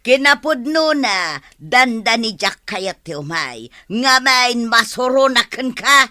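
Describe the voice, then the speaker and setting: Woman playing a stern, bright-voiced scolder. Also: a little high, very animated, takes it slowly, dry recording, clean studio sound, heavily acted. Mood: reproachful. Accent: Ilocano. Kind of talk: Ilocano comedy radio drama acting.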